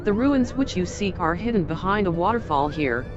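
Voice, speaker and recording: An actress playing a proud, stern warrior envoy of a resistance in a fantasy video game, with clipped, haughty, formal delivery. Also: female voice, fairly low pitch, lively intonation, moderate pace, highs cut off, close to an everyday voice. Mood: cool, haughty. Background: loud game music.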